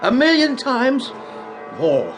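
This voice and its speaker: A man's voice: extremely high pitch, very animated, slow pace, full character voice. An actor playing a kindly, humble old working-class father, musing aloud in folksy speech in a radio drama. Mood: fond, cheerful. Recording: music behind the voice.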